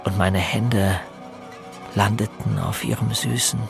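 A brooding male narrator looks back on boyhood in scripted first-person narration. His voice is low, with clear music behind it. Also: steady tone, takes it slowly, exaggerated voice. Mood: hesitant, confessional. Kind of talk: scripted narration in an audio drama.

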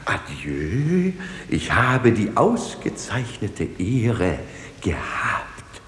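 Ein Schauspieler spielt in einem komischen Hörspiel einen stolzen, polternden Familienvater und Helden aus dem alten Athen, theatralisch und pompös. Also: male voice, lowish voice, huge pitch swings, extremely slow, heavily acted. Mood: grandly courteous. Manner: theatrical, pompous. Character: proud, blustering father, vintner hero of ancient Athens. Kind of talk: comic stage-style radio drama dialogue. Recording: hissy 1969 radio play recording.

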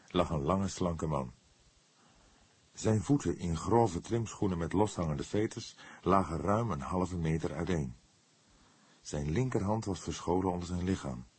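Deep, husky male audiobook narrator. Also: animated, moderate pace, darkish tone, no echo. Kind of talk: dramatic scripted narration of a crime novel.

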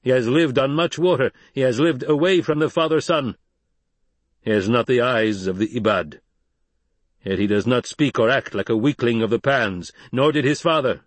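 Man voicing a stern, commanding desert chieftain, raised pitch. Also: moderate pace, no room sound, lightly acted. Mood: firm, declaring. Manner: formal, declamatory, authoritative.

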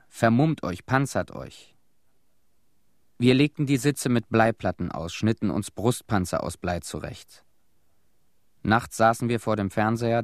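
Young ailing veteran, deep-toned, embittered, sombre, terse, radio drama monologue, very dry sound. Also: male voice, no room sound.

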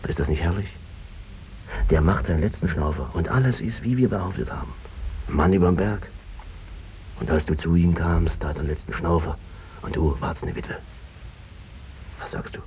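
Sly hesitant farmer brother, really deep soft-edged voice, old fifties radio play, bit of noise. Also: male voice, normal speed, long pauses. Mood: scheming, persuasive. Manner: hesitant, roundabout, cajoling. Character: evasive, henpecked peasant brother nudging his sister out. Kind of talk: 1950s German stage-style radio drama acting. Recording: noisy old 1950s radio recording.

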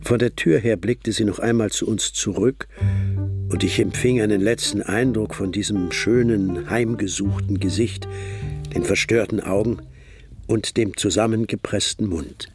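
Measured storyteller over prominent music. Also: male voice, on the low side. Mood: measured, reflective. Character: male narrator, a detective's companion recalling a case. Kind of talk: German radio drama narration.

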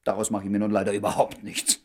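A male actor in a German radio play playing an aloof, work-obsessed bachelor doctor, emphatic and dismissive, with a husky voice. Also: lowish voice, completely flat delivery, fast, darkish tone, natural voice. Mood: brisk, dismissive.

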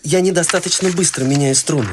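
An actor playing a shy, awkward young man in a studio radio play, speaking gently and haltingly. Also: on the high side, background noise, close to an everyday voice. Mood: gentle. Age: young adult. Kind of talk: studio radio drama acting.

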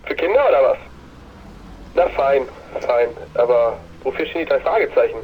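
Man acting gruff shopkeeper on muffled phone. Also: medium pitch, expressive, normal speed. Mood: scornfully curious. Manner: blunt, grumbling, sarcastic. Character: gruff, easily outraged male newsagent. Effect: telephone filter. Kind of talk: German fan audio drama acting. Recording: muffled telephone sound with faint hiss.

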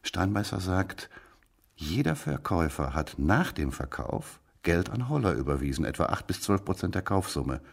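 An actor playing a gruff, curt police detective with a dry, brusque manner in a radio crime drama. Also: male voice, sits low, very animated, normal speed, full character voice. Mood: matter-of-fact.

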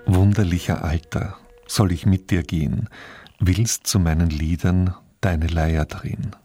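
Deep, husky man presenting. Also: steady tone, measured pace, warm tone, dry recording. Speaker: radio presenter introducing a radio play. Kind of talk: scripted narration, cultural radio introduction. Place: radio studio.

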